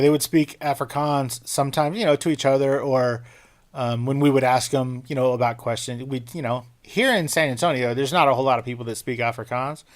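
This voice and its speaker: A man hosting an interview podcast in an easygoing, conversational way. He drifts into long, rambling anecdotes between his questions, in a voice of ordinary pitch. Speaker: podcast host. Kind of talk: casual interview chat.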